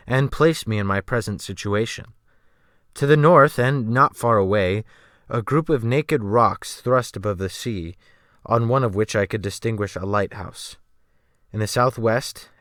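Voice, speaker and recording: A man narrating an audiobook as a dramatic reading, with measured, formal and emphatic phrasing. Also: on the low side, a bit bright, no room sound, clean studio sound.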